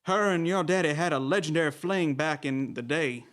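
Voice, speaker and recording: Man playing a high-pitched, sassy club owner. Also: moderate pace, fairly bright tone, close to an everyday voice. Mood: wry. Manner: wry, folksy, colloquial. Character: sassy, folksy male club owner, unfazed. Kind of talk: campy horror-comedy film acting.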